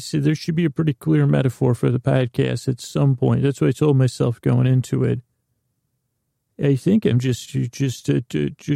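A man with a heavily creaking, gravelly voice hosts a podcast in a very relaxed, muted tone. His pitch stays fairly even as he wanders through a comic monologue. He keeps stopping, restarting and losing the thread mid-sentence. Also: no room sound, studio quality. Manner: halting, self-interrupting, trailing off mid-thought. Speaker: podcast host. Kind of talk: rambling, meandering comic monologue.

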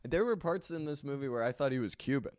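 A young man co-hosting a casual movie podcast, trading deadpan, ironic jokes. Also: medium pitch, fast, clean tone, no echo, clean studio sound. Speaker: podcast co-host. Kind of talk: casual movie-review chat.

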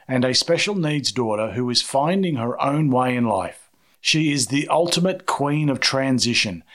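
A male podcast host giving a steady, earnest, welcoming scripted introduction and then chatting with his guest. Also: mid-range voice.

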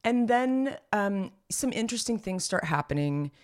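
A podcast co-host in her thirties talks in a loose, rambling way, stopping and restarting her sentences often. Her voice is slightly raspy and hoarse. She sounds relaxed and conversational. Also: mid-range voice, normal speed. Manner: chatty and rambling, many fillers and restarts. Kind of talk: casual chat.

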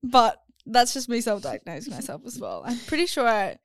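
A woman's voice: a little high, big pitch swings, fairly quick, no room sound, clean studio sound. A young woman co-hosting a podcast, chatting casually with a slightly nasal voice and frequent laughs. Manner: chatty, laughs often, trails off mid-sentence. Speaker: podcast co-host. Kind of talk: casual chat.

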